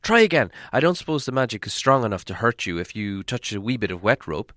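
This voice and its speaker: A man's voice: fairly low pitch, sing-song, quick pace, a bit bright, no echo, studio quality. A man voicing an anxious, eager hobbit adventurer in a storybook reading, stammering and exclaiming as he speaks. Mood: urgent, excited.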